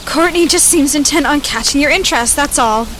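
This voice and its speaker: A woman's voice: high voice, a little fast, fairly bright tone, a little hiss or hum. An actress playing a guarded, awkward young woman in an audio drama, casual, understated and evasive. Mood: defensive.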